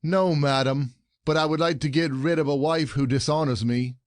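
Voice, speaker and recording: Guy acting as wronged husband in an old comedy audio play, indignant n formal, voice a bit high, very dry sound. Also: fairly even intonation, normal speed, dry recording, natural voice. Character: aggrieved, scheming wronged husband in stage farce.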